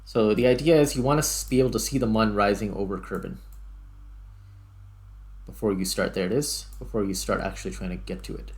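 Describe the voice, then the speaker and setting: Low-voiced man doing casual game commentary. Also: steady tone, a little fast. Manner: thinking aloud step by step while playing. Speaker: gaming video host. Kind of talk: casual let's play commentary. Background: background music.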